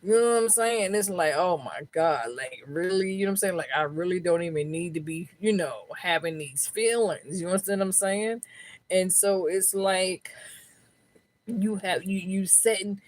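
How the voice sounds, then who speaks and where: A woman giving a live tarot reading in casual, slangy, profane talk. She keeps checking in with her listeners and sometimes drops her voice low into a close microphone. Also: moderate pace. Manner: slangy, profane, keeps asking "you know what I'm saying"; lowers her voice to keep it down. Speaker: tarot reader. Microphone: own microphone, close up.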